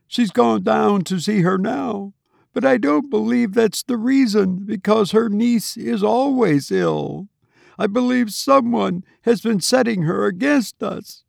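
A man reading an audiobook and voicing a young wife who is upset and tearful, in a high, pleading, fretful tone. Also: gravelly, darkish tone, dry recording, studio quality, full character voice. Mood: tearful, worried. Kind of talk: audiobook character voice.

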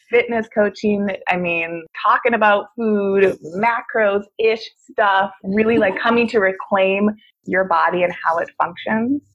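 A woman's voice: medium pitch, muffled recording. A woman hosting a podcast, asking questions in an enthusiastic, friendly, loosely phrased way. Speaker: podcast host. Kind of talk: casual interview.

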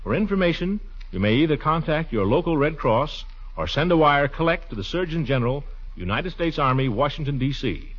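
A man's voice: on the high side, animated, brisk, slightly rough. A man making an earnest, direct public appeal in 1940s radio style. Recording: dull vintage 1940s radio recording.

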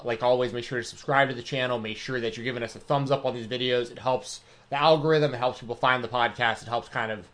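Laid-back man hosting a live chat. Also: medium pitch. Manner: relaxed, rambling, many fillers and restarts. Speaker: podcast host. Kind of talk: casual live-stream chat.

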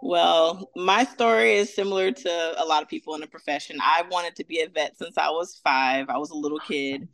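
A woman's voice: deep voice, moderate pace, gravelly, hardly any pauses, a bit bright, no echo. A woman in her thirties from Atlanta, a veterinarian guest talking casually and upbeat, with many fillers. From Atlanta, Georgia, US. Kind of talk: casual interview.

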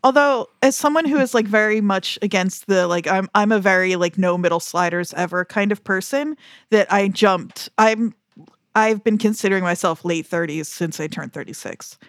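A woman's voice: mid-range voice, normal speed, no echo, studio quality. A woman in her thirties co-hosting a podcast, chatting casually and playfully, often laughing. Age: 30s. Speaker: podcast co-host. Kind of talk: casual chat.

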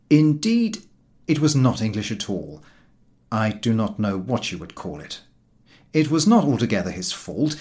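A man reading a humorous story as an audiobook narrator, in a wry, expressive storytelling manner. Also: lowish voice.

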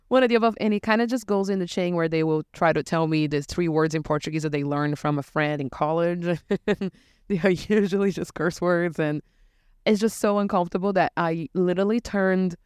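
A woman from Brazil, co-hosting a casual chat about bartending, animated and quick to act out customers' lines. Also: on the low side, clean tone, dry recording, studio quality. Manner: animated, acts out customers' questions with laughs. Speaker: podcast co-host, bartender.

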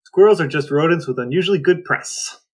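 A man's voice: on the high side, fairly quick, a little mellow, husky. A man co-hosting a podcast in casual, conversational chat. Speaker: podcast co-host.

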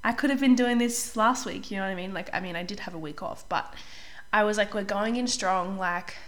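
A young woman hosting a casual solo podcast as a life coach, chatty and upbeat, slightly hoarse while getting over a cold. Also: mid-range voice, fairly quick, fairly bright tone. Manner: chatty, upbeat, says "like" often, clears throat.

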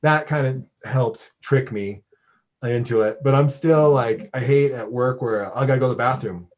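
A man's voice: mid-range voice, moderate pace, a little mellow. Informal, rambling American man. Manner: informal, rambling, self-interrupting. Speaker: podcast host and radio DJ. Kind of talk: casual chat interview.

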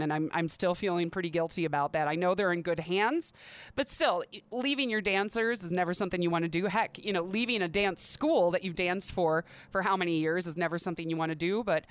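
A woman's voice: mid-range voice, fairly bright tone, no room sound. A woman, hosting a podcast in a chatty, candid, self-deprecating way. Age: 30s. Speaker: podcast host. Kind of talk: casual solo podcast talk.

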